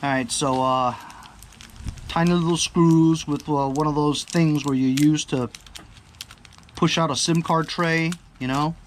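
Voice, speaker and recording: A man's voice: a little high, steady tone, unhurried. Rambling man reviewer with background noise. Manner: rambling, thinking aloud, often checking in with the viewer. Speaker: product reviewer. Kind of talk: casual unscripted unboxing chat. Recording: clear background ambient noise.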